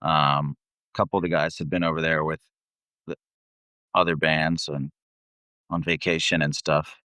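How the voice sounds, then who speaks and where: A man's voice: very deep voice, flat delivery, normal speed, bright, forceful tone, clear, resonant tone, dry recording, clean studio sound. A man who sings in a band, chatting as a guest and telling tour stories in a laid-back, slangy, sweary way. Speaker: band vocalist, interview guest.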